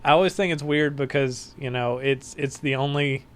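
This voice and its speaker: A man's voice: mid-range voice, moderate pace. Laid-back man talking games. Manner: relaxed gamer talk with fillers. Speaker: podcast guest. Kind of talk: casual chat.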